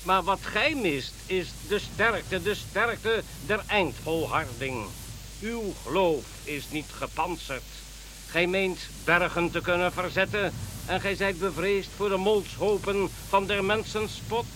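A man's voice: high voice, slow, bright, forceful tone, exaggerated voice, rough recording. A man playing a grave, devout king in a radio play, speaking formally like a preacher. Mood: solemn exhortation. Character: solemn, devout king following a star. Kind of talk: Dutch radio play acting. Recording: faint background hiss.

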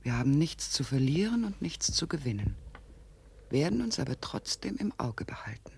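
Higher-voiced, brooding survivor. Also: male voice, very animated, normal speed, vocal fry, a little mellow, husky, full character voice. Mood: grave, brooding. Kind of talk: 1970s German radio drama acting. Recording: faintly noisy 1970s radio recording.

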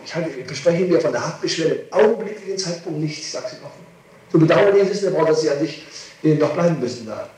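A man's voice: fairly high pitch, expressive, fast talker, darkish tone. A man speaking as a lawyer advising his client, insistent and placating. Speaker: defense lawyer. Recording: very echoey, noisy archival tape, distant mic.

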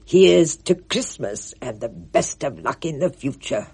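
Woman doing a jovial, husky-voiced old lady. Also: rumbling low, very animated, unhurried, darkish tone, heavily acted. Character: plump jovial wheezy older woman.

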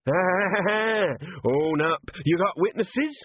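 A slow-witted troll sergeant barks blunt orders, as a man puts him on. He talks in a raised, bullying holler, pitched higher than a plain speaking voice. The sound is husky, narrow and dry. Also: no room sound, exaggerated voice. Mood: bellowing. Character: loud dim troll sergeant, bossy. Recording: phone-like narrow band, dry.

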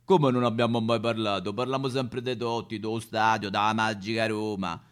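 A man playing a dim, gruff, bored football-mad husband with a Roman accent in a satirical stage sketch. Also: on the low side, level tone, normal speed, close to an everyday voice. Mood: dull, evasive.

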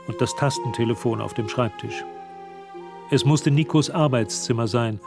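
A man giving scripted literary narration in a German radio drama. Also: medium pitch.

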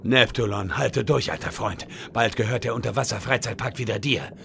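A man's voice: on the low side, normal speed, creaky, fairly bright tone, slight background noise. A man playing an earnest, pedantic know-it-all raid leader in a fantasy comedy audio drama. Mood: commanding.

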